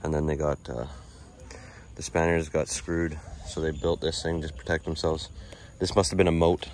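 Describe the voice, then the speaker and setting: Low-voiced man chatting on a walking tour. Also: normal speed, a little hiss or hum. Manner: relaxed, chatty, thinking aloud with fillers. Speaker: travel vlogger. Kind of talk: casual walking tour narration. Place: outdoors at an old stone fort.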